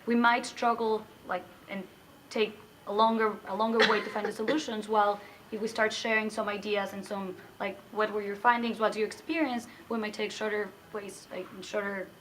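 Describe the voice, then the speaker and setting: A young woman from Mexico speaks earnestly, with plenty of "like" fillers. Her voice is mid-pitched. It is recorded indoors with faint hiss behind it. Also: flat delivery, normal speed. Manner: earnest, with frequent "like". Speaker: graduate student. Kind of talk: personal interview answer. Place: indoor lounge.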